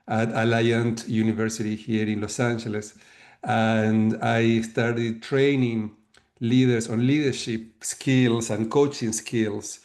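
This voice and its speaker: Middle-aged Argentine man, Spanish-accented English, steady flat delivery, methodical guest speaker. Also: medium pitch. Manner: measured, explanatory, frequent fillers and restarts. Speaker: guest author and leadership coach. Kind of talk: webinar talk.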